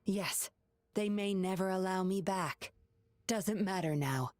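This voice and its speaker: An actress playing a mysterious former patroller in a video game, composed, firm and solemn. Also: female voice, mid-range voice, slow, darkish tone, no echo, close to an everyday voice. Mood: defiant, calm. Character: mysterious, resolute former patroller woman, cool and secretive. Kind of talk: dramatic video game voice acting.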